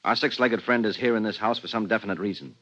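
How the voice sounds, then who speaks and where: An actor playing a guarded, curt police investigator and husband in a 1950s radio drama, speaking bluntly. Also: male voice, on the low side, quick pace, bright, forceful tone. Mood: weary, curt. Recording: dull vintage 1950s radio recording.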